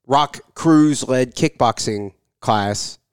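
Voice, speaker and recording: A man hosting a podcast interview, talking in a relaxed, conversational way with some filler words. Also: medium pitch, slow, smooth, dry recording, studio quality. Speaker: podcast host. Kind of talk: casual interview and read sponsor ads.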